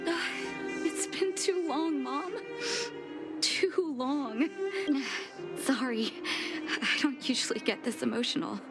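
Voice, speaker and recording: An earnest young doctor. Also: female voice, high voice, takes it slowly. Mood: emotional. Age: young adult. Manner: gentle, heartfelt. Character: devoted young woman doctor, gentle and earnest. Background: game music.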